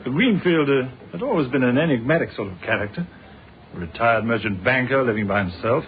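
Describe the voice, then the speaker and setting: A man's voice: mid-range voice, animated, a little hiss or hum, natural voice. Casting: male actor as patient, polite British detective inspector, vintage radio drama style, middle register, boxed-in lo-fi sound. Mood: reflective, recounting. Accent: British English. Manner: measured, polite, dryly unflappable. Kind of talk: old-fashioned British radio drama acting. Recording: narrow, phone-like old radio recording.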